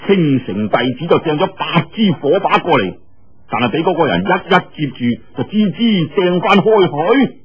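Animated, husky Cantonese male storyteller. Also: fairly high pitch, muffled recording. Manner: dramatic, colloquial, exclamatory. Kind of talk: animated martial-arts storytelling.

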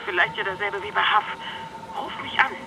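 A woman's voice: medium pitch, moderate pace, background noise, close to an everyday voice. A woman playing a practical, worried young waitress in a radio drama, speaking in a natural, direct way. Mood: urgent, worried. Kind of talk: German radio drama acting.

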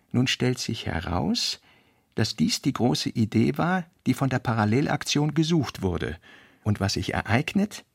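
A man reading an author's fragmentary working notes for a radio play, slowly and deliberately. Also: mid-range voice, vocal fry, breathy edge, no echo, clean studio sound. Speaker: reader.

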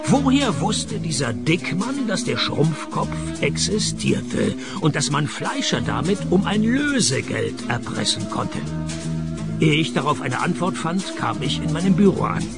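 A dry, laconic private eye in a radio play. His creaky voice moves widely in pitch as he tells his case deadpan. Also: measured pace, over loud music. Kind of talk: scripted radio play narration and dialogue.

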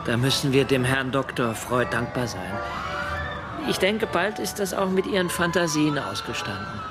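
An actor playing a concerned middle-aged father, a gentleman, in a radio drama. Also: medium pitch, animated, moderate pace, over loud music, lightly acted. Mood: relieved.

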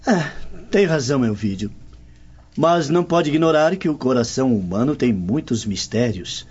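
An actor playing a worldly, affable Roman gentleman in a radio drama, courteous and theatrical as a confidant. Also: medium pitch, animated, normal speed, breathy edge. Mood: confiding, cautionary. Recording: dull audio over music bed.

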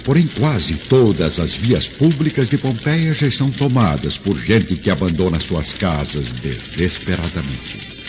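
A man's voice: low voice, wildly swooping pitch, measured pace. A man giving dramatic, emphatic narration of a radio drama. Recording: dull lo-fi sound with music. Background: music.

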